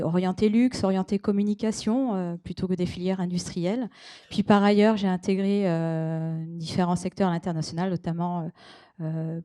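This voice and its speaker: A woman from the Paris region introducing herself as an entrepreneur on a panel, halting with many fillers. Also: lowish voice, warm tone. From Paris region, France. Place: conference stage.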